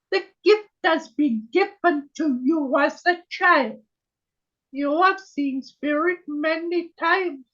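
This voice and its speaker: Gentle, high-voiced female spirit, voiced by a trance medium: slow, archaic, solemn, muffled call audio. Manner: slow, solemn, archaic phrasing, keeps saying my dear. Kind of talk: trance channeling on a video call. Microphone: video call.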